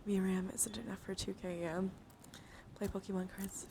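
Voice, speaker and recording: A woman hosting an ASMR livestream, chatting softly and often slipping into a whisper with careful, deliberate enunciation. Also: medium pitch, fairly even intonation, gravelly. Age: adult, over 18. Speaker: streamer host. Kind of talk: soft ASMR livestream chat. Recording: noticeable background noise.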